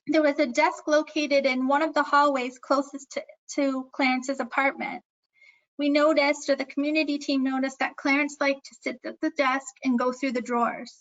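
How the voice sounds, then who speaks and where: A woman with a high-pitched voice presenting an earnest, instructive talk on memory care, with slightly dull audio. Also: fairly bright tone. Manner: earnest, instructive, self-aware about speaking fast. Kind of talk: scripted educational presentation.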